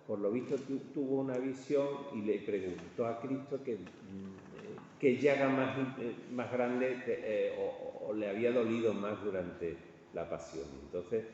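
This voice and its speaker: A man with an accent from Spain gives an informal art history lecture, halting and conversational, often checking in with his listeners. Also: on the low side, dark tone. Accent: Spanish from Spain. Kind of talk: informal illustrated lecture to an audience. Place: large reverberant indoor room. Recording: echoey hall, distant muffled sound, faint noise.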